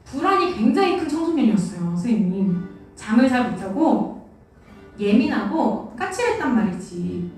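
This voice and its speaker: A woman's voice: fairly high pitch, very animated, moderate pace, a little mellow. A young woman leading youth church worship in a casual, playful, self-deprecating way, with a sore throat. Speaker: youth church praise leader and teacher. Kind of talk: casual talk to teenagers between worship songs. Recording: very echoey room, distant mic, faint music.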